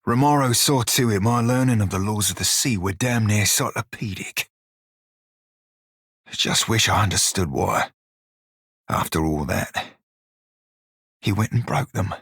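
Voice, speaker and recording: An actor playing a gruff, wry, loyal blue-skinned pirate shiphunter in a video game, with a husky voice and archaic, swaggering nautical dialect. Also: male voice, lowish voice, a little slow, pauses often, a bit bright, no echo, studio quality, full character voice. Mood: grieving, somber. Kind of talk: video game character voice lines.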